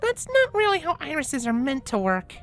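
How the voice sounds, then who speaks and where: A woman's voice: high-pitched, huge pitch swings, normal speed, soft background music. A woman voicing a prim, bookish young pony princess in a fan fiction reading, precise and lecturing, patient but exasperated. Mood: uneasy, concerned. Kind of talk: character acting in a fan fiction audio reading.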